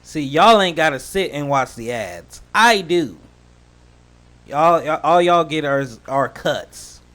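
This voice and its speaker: A man's voice: on the high side, animated, takes it slowly, fairly bright tone. A man doing casual reaction commentary as a host, slangy and joking, drifting into rambling asides.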